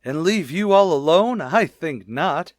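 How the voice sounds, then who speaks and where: An American actor playing a shy, naive bachelor schoolteacher, hesitant and earnest, in a vintage radio sitcom. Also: male voice, fairly high pitch, animated, unhurried, dry recording, studio quality. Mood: flustered, earnest. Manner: hesitant, earnest, literal-minded.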